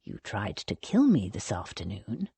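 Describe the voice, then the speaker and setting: A woman's voice: sits low, big pitch swings, slow pace, darkish tone, breathy edge, no room sound, pitched below the performer's own voice, exaggerated voice. A woman voicing an angry, commanding male lord with a clipped, menacing, snarling delivery in an audiobook. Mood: cold, steely. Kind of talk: audiobook character dialogue.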